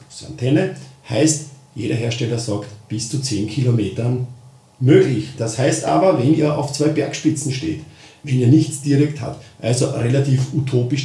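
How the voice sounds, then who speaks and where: A man's voice: medium pitch, warm tone. Austrian man explaining, in an echoey room. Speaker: presenter of a product tutorial. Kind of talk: spoken how-to explanation of a gadget. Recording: roomy, echoing sound.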